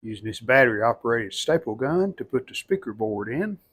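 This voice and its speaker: Male hobbyist restorer, mid-range voice, plain-spoken and unhurried in tone, casual step-by-step commentary in short phrases. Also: medium pitch, sing-song, fairly bright tone, slightly rough. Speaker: hobbyist restorer demonstrating a repair. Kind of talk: casual hands-on how-to narration.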